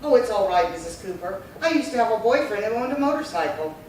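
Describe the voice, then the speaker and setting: A woman's voice: mid-range voice, sing-song, quick pace, a little mellow, lightly acted. A woman playing a wry, anxious, wheedling housemaid in an old-time screwball radio comedy. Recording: echoey distant stage recording, faint noise.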